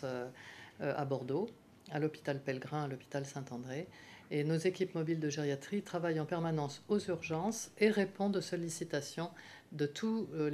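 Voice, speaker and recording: Low-voiced woman, measured hesitant explaining. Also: warm tone, a little hiss or hum. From Paris, France. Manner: measured, frequent hesitations with euh. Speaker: professor of geriatric medicine. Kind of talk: explanatory interview answers.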